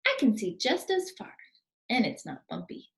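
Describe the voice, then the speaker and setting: A friendly little storybook animal, as a woman reads it aloud, speaking in an earnest, expressive way. The character is an appreciative pal in a children's picture book. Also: fairly high pitch, moderate pace, gravelly, reverberant, pitched above the performer's own voice, full character voice. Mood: delighted.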